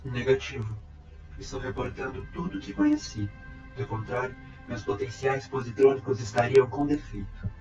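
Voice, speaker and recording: A man's voice: medium pitch, sing-song, darkish tone, natural voice. Echoey, formal male robot played by a man. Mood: calm, factual. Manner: formal, measured, polite reporting. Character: calm, courteous humanlike male robot time traveler. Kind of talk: audio drama acting. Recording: echoey, dull amateur sound, music behind.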